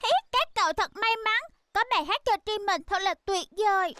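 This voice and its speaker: A very high, squeaky-bright little girl fox. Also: moderate pace, a little hiss or hum. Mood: wistful. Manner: childlike, excitable. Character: small girl fox, sweet, eager and admiring. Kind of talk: children's cartoon dub.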